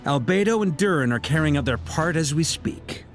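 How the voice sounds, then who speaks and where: A man acts a seasoned knight grand master in a video game. His delivery is animated, frank and commanding, yet reassuring. Music plays softly underneath. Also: a little high, moderate pace, natural voice. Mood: confident. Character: seasoned, jovial male knight grand master leading a battle briefing. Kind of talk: English game dub acting. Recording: music behind the voice.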